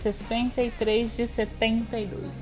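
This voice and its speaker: A woman's voice: fairly high pitch, level tone, measured pace, darkish tone, faint music behind, poor audio. A woman playing a tabletop roleplaying game, telling her character's feelings and actions in the first person.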